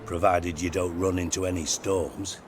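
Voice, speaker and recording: A man's voice: low-pitched, fairly even intonation, normal speed, background noise, lightly acted. A man playing a cynical, crude-tongued older spymaster in a video game cutscene, blunt and theatrical in delivery. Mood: gruff grumbling.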